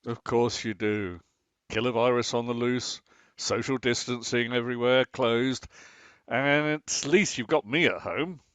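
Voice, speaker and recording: A man's voice: medium pitch, unhurried, fairly bright tone, smooth, dry recording, close to an everyday voice. A man acting a long-suffering, dryly sarcastic husband in a domestic radio comedy. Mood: wry. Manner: dry, exasperated sarcasm.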